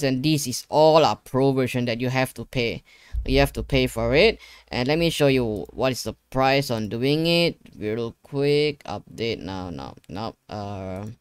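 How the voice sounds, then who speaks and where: A man's voice: mid-range voice, smooth, no echo. Young guy, walking viewers thru a setup, chatty n repeats himself, close dry mic. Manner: informal, repeats phrases, addresses viewers. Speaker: tutorial host. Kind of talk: casual screen-share tutorial. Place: indoor gaming room at a desk.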